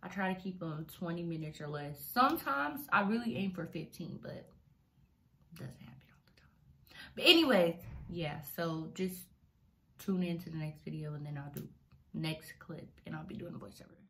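A young woman talking casually through a makeup tutorial, relaxed and chatty with many fillers, in a small bedroom on a device mic. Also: lowish voice, normal speed, slight background noise. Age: young adult. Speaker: beauty vlogger.

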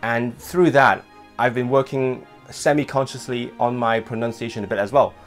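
A young man talks straight to his webcam from a small room at home, his hands moving as he explains things. English is not his first language, and he speaks with an average pitch. Soft music plays faintly behind him. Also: normal speed. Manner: animated, gestures with hands while talking. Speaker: language vlogger host. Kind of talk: casual scripted vlog talk to camera.

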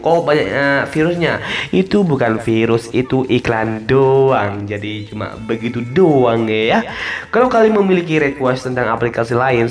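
A man narrates a tutorial in a mid-pitched voice over background music. He talks informally, walking through each step, repeating himself and stretching the ends of his phrases. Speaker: tutorial host. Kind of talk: casual app tutorial voice-over.

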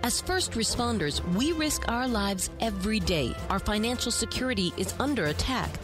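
A woman's voice: a little high, lively intonation, moderate pace, music in the background. A woman reading a scripted show intro in a dramatic, emphatic announcer style.

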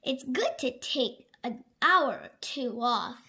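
A woman's voice: high voice, sing-song, takes it slowly, sharp tone, exaggerated voice. A woman voicing a friendly, eager giant dinosaur in a storybook, playful and exclaiming.

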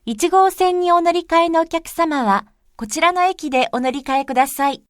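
A woman reading recorded subway announcements in a very polite, formal, measured way. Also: extremely high pitch, no echo, clean studio sound.

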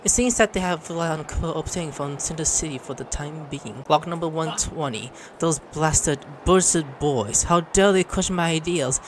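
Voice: medium pitch, moderate pace, hardly any pauses, slight background noise, rough recording. A gaming streamer giving casual let's play commentary in an informal, exclamatory way. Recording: game music behind the voice.

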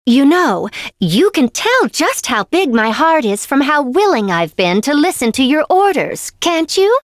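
A woman's voice: high-pitched, sing-song, unhurried, dry recording. A woman playing a vain, demanding young noble lady for a video game, pompous, pouty and theatrical. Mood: indignant, pouty. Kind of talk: video game character voice lines.

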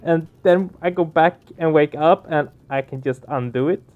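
A man's voice: fairly high pitch, moderate pace, phone-quality sound. A man doing casual let's play commentary, puzzling things out with repeated questions. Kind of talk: casual game commentary and reading aloud. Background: game music.

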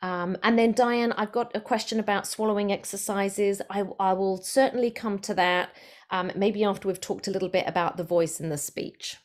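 A woman's voice: medium pitch, steady tone, moderate pace. A woman speech therapist giving an online presentation over a video call, explaining in a friendly way with some fillers.

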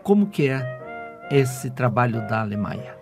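A man's voice: mid-range voice, big pitch swings, unhurried. A man in his sixties with a Brazilian accent, hosting a radio interview on a studio microphone. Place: small foam-padded radio studio. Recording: music behind the voice. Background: music.

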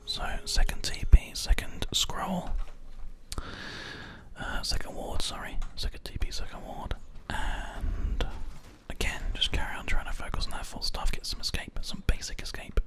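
A gaming host whispering ASMR gameplay commentary very close into a binaural microphone. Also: unhurried. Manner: hushed whispering throughout. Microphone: close binaural microphone, ear to ear.

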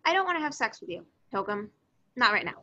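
A woman's voice: on the high side, sing-song, fast talker, bright, forceful tone, lo-fi sound, full character voice. A woman reading the part of a cool, blunt young love interest in an amateur table read, dry and casual. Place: online group video call.

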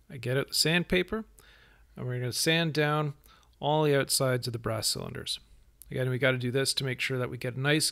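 A man hosting a woodworking channel, narrating his project steps in a relaxed voice-over and explaining each step plainly. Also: mid-range voice. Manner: easygoing, explains steps plainly with small restarts.

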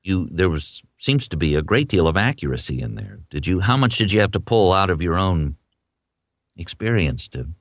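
A man interviewing someone in a conversational style, feeling his way through questions with halting phrasing. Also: sits low, very animated, no room sound, clean studio sound.

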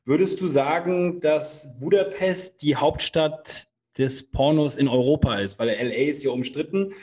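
A man's voice: on the high side, unhurried, darkish tone. A male podcast co-host asking questions and joking bluntly in casual chat.

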